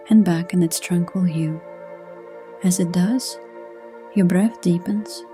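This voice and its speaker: A woman reading a scripted sleep hypnosis session in a gentle, hushed, soothing manner. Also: fairly low pitch. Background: soft new-age music.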